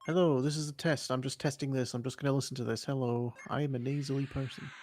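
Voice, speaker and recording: A man's voice: medium pitch, fairly even intonation, fast, a little mellow. A man with a slightly nasal voice chatting casually about video games on a new microphone that is not yet set up, rambling and often restarting his sentences. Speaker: co-host of a gaming stream. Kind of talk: casual gaming chat. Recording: background music clearly heard.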